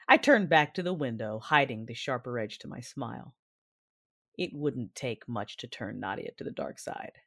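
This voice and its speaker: Cool, calculating dark-side woman; lively inflection, dry studio sound, first-person narration. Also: a bass voice, dry recording, heavily acted. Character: cool, shrewd Sith-like woman strategist narrating.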